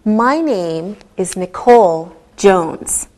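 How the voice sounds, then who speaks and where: Slow, carefully spoken woman teacher. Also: mid-range voice, animated, darkish tone. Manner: deliberate, clearly enunciated, patient. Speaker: English teacher. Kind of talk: slow, scripted ESL lesson delivery.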